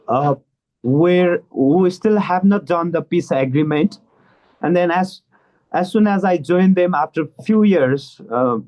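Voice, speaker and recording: A man with a Nepali accent speaks in a slightly raised register, talking things through with plenty of pauses and restarts. He answers as a guest on a video call. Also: a little high, a little mellow, rough recording. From eastern Nepal. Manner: hesitant, many fillers and restarts. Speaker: guest expert. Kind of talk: conversational interview.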